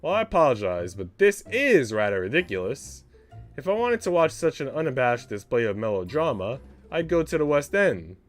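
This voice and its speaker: A man's voice: mid-range voice, very animated, normal speed, lightly acted. A man voicing an indignant, prim sixteen-year-old girl in a visual novel, with music behind. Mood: disdainful. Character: sixteen-year-old girl, haughty, grumpy, easily offended.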